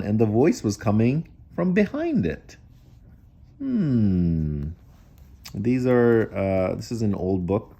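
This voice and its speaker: A man reading a children's storybook aloud in an animated style, breaking off for wry, casual asides. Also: fairly low pitch. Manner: wry, digressive commentary. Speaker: storybook reader.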